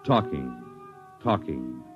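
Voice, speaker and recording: An actor playing the solemn narrator of a 1950s radio space drama. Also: male voice, rumbling low, very animated, slow, heavily acted. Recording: dull vintage radio recording. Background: prominent music.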